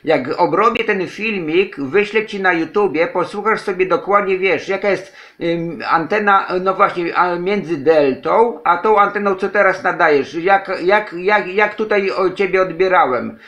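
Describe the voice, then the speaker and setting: A man's voice: sits high, normal speed, hardly any pauses, sharp tone. A man from Poland talking casually as an amateur radio operator, repeating his phrases. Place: indoors, radio desk in a flat.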